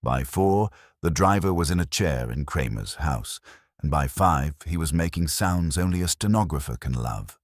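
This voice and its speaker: A man's voice: rumbling low, animated, moderate pace, dry recording, clean studio sound. A man narrating a first-person detective story in a wry, hard-boiled way. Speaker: audiobook narrator.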